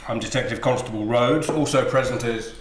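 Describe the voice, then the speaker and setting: An actor playing a stern male police detective, speaking in a flat, procedural way. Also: medium pitch, brisk, echoey room, a little hiss or hum, close to an everyday voice. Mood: formal.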